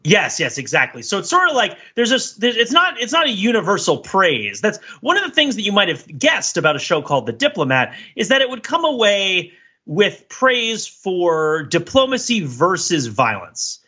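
Man, bright husky voice, very animated intonation, wordy emphatic analysis, casual podcast talk, slightly dull recording. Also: high-pitched, moderate pace. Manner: wordy and emphatic, often checking with "right?". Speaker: podcast co-host discussing a TV series. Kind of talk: casual analytical discussion among co-hosts.

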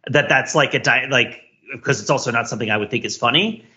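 A man's voice: medium pitch, quick pace, sharp tone, dull audio. A man in his forties chatting casually as a podcast co-host. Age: 40s. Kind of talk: casual chat.

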